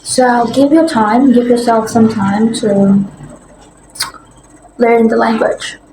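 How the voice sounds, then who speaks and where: A woman with an Indonesian accent, a native Indonesian speaker, giving earnest, encouraging advice in a casual vlog and pausing to search for words. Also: fairly high pitch. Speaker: language vlogger. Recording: roomy echo with faint background noise.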